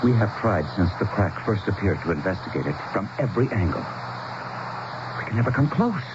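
Deep, animated, earnest town leader. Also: male voice. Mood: urgent, amazed. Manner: formal, halting, urgent explaining. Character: earnest, anxious, educated town leader pleading for help. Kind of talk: radio drama acting. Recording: muffled, noisy 1970s radio broadcast.

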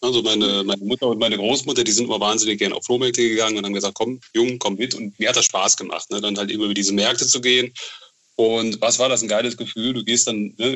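A man's voice: fairly low pitch, bright, forceful tone. A man from Bonn in western Germany chatting enthusiastically as an interview guest, often adding tag questions to seek agreement. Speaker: interview guest, novel author. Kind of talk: casual reminiscing interview.

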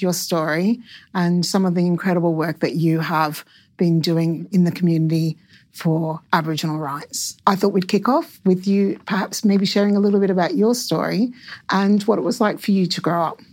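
A woman's voice: medium pitch, dry recording. An Australian woman hosting a podcast, reading a scripted intro and then chatting with her guest. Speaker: podcast host.

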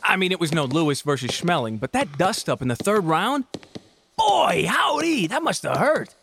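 Boyish private, male actor: eager, by-the-book, higher-pitched, very animated, dry close sound. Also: normal speed, vocal fry, a little hiss or hum, full character voice. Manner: excitable, folksy slang. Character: eager, rule-abiding young male soldier, boyish. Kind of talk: period audio drama acting.

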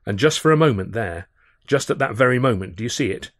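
A man reading a first-person audiobook in a measured, expressive and wry storytelling manner, close on the microphone. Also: mid-range voice, no echo. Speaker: author reading his own novel.

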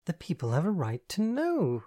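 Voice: mid-range voice, animated, moderate pace, darkish tone, pitched above the performer's own voice, lightly acted. A reader voicing a flamboyant, camp young man who speaks grandly and teases his friend, in an audiobook. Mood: teasing. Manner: grand, theatrical, teasing. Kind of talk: audiobook dialogue.

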